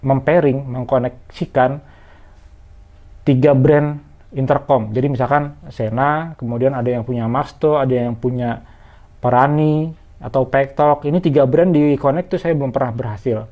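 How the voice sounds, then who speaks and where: A man's voice: mid-range voice, steady tone, moderate pace, highs cut off. A man talks viewers through a casual product review in a conversational, explanatory way. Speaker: motorcycle gear reviewer.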